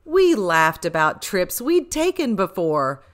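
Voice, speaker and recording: A woman reading a rhyming children's picture book aloud with expressive, rhythmic delivery. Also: fairly low pitch, slow.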